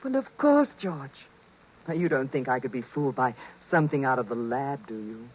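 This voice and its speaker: A soft, knowing wife. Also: female voice, rumbling low, lively intonation, moderate pace. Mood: tender. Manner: soft, affectionate, coaxing. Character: sweet, knowing wife Marge, adult woman. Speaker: actress playing Marge. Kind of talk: 1950s radio drama acting. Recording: dull vintage 1950s radio broadcast.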